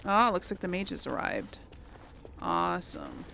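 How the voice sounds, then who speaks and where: Slow, creaky-voiced woman gamer. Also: on the low side, very animated, bright, forceful tone. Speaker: let's play host. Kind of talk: casual game playthrough commentary. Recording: faint background game noise.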